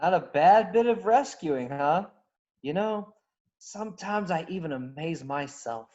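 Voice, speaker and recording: A woman's voice: fairly low pitch, animated, a little slow, warm tone, highs cut off. A woman playing a cocky, self-interested smuggler pilot in a script read, breezy and teasing, often trailing off. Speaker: actor in a table read. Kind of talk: virtual script table read.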